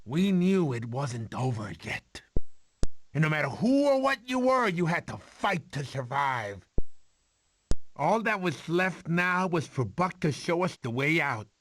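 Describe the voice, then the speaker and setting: A man's voice: fairly high pitch, big pitch swings, unhurried, a bit bright, slight background noise. A man voicing a goofy, boastful, doting cartoon sloth uncle who tells bedtime stories theatrically and stumbles over his words. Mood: dramatic storytelling. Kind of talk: animated film voice acting, bedtime storytelling.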